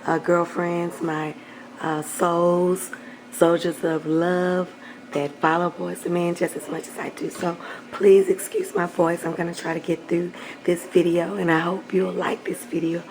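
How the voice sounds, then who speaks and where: Hoarse lady talking thru a recipe in her kitchen, kinda low and raspy, faint hiss behind. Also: flat delivery, measured pace, a little mellow, low-quality recording. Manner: chatty, affectionate with viewers, clears throat often. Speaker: cooking channel host. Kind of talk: casual cooking vlog chat. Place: home kitchen.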